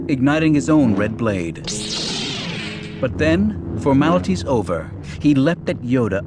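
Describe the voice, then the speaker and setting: A man reading an audiobook as narrator, delivering scripted action storytelling in a dramatic style. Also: medium pitch. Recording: music behind the narration.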